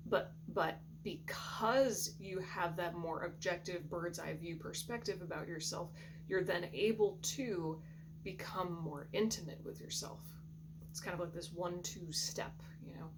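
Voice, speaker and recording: A woman giving a soft, reflective intuitive tarot reading in a groggy morning voice, often clearing her throat. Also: on the low side, measured pace. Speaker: tarot reader. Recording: steady background hum.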